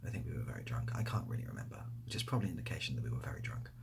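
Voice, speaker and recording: A young man with an English accent chatting softly in an ASMR style, hesitant and rambling, often dropping to a near-whisper. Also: a bass voice, sing-song, racing, gravelly, background noise. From near Bath, England. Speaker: ASMR video creator. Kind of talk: softly spoken ASMR casual chat answering questions.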